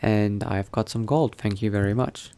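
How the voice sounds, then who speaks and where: A man narrating his role-play gameplay in character, musing to himself in an unhurried, gravelly voice. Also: on the low side, fairly even intonation, warm tone. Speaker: gaming video host, role-playing player.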